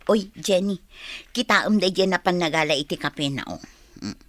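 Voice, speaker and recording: An actress playing a playful, teasing young Filipino office friend in a radio drama. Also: female voice, fairly low pitch, lively intonation, measured pace, a bit bright.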